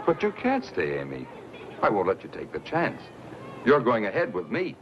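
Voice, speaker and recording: A man's voice: lowish voice, huge pitch swings, moderate pace, a faint crowd, slight background noise. A man playing a stubborn, self-reliant frontiersman with a firm, plain-spoken manner in a western radio drama. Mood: commanding. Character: stubborn, self-reliant young frontiersman guarding his rifles. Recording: dull lo-fi radio recording, music.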